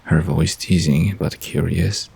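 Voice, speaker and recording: Deep, gravelly, slow male storyteller. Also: fairly even intonation, a little mellow. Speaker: narrator. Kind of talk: scripted story narration for bedtime audio drama.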